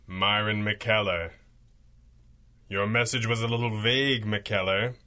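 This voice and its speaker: Forceful masked radio hero. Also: male voice, fairly low pitch, steady tone, measured pace, soft background music. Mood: impatient, alarmed. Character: stern, commanding male masked crimefighter hero. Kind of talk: radio drama acting in 1930s pulp serial manner.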